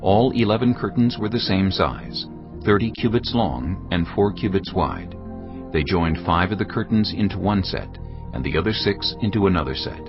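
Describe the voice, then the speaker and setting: Male narrator, very deep, reverent and measured, faint music bed. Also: expressive. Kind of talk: scripted narration of scripture. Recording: dull studio recording with soft music. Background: soft background music.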